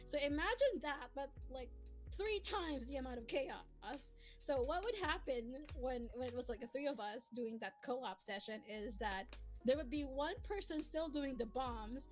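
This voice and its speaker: Woman streamer, very high voice, chatty and giggly rambling storyteller, faint music underneath. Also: expressive, normal speed, rough recording. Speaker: streamer and co-host. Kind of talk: casual chatty livestream talk.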